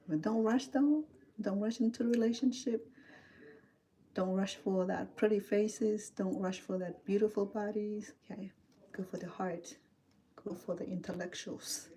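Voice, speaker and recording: A woman's voice: fairly low pitch, warm tone, slight background noise. A middle-aged woman from Cambodia with a Cambodian accent, chatting casually with livestream viewers while cooking in her home kitchen. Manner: chatty, answers viewer comments, laughs often.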